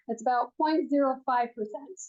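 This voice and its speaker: A woman who is a financial advisor, giving a soft-spoken classroom presentation with frequent ums, recorded on a room mic. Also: on the high side, normal speed, lo-fi sound.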